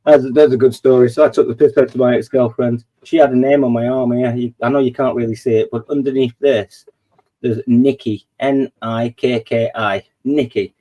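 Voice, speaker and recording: A man's voice: medium pitch, fairly even intonation, moderate pace, mellow, rough recording. A man, hosting a casual late-night chat, rambling and boasting and laughing at his own stories. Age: 40s. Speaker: host of a live chat panel.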